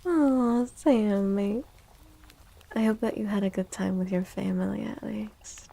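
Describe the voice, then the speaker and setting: A woman livestream host chatting in a soft, gentle ASMR style close to the mic, drifting now and then toward a breathy whisper. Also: mid-range voice, steady tone, unhurried. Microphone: close microphone.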